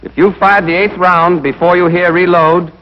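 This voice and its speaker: A man's voice: high voice, fairly even intonation, moderate pace. A man with an American accent narrates a military training film as an instructor, speaking directly to trainees in a scripted, instructive way. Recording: dull, band-limited old training film soundtrack.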